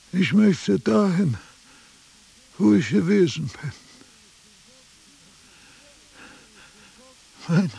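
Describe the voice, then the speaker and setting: A frail old philosopher for a radio drama. His voice sits high for a man and creaks with gravel. He speaks slowly and pedantically, imperious yet confused. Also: darkish tone, full character voice, poor audio. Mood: confused, plaintive. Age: older. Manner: slow, pedantic, dictating.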